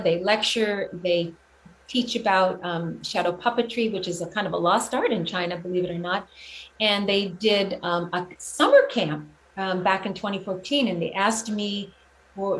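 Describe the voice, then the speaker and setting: A woman giving a casual interview about her own work, speaking earnestly and haltingly as she thinks out her explanations. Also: medium pitch, poor audio. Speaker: guest, children's singer and teaching artist. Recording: clear steady background noise.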